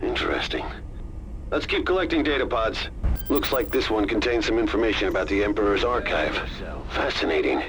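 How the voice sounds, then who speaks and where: An actor playing a haughty, sardonic pirate fleet commander in a video game, pompous, with clipped orders. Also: male voice, lowish voice, expressive, brisk, a bit bright. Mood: intrigued.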